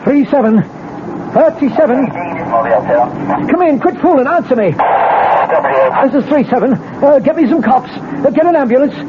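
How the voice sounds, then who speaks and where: An actor playing a flustered middle-aged cab driver who stammers and pleads, in a 1970s radio drama. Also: male voice, extremely high pitch, very animated, measured pace, long pauses, heavily acted. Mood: urgent. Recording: muffled old radio broadcast with hiss.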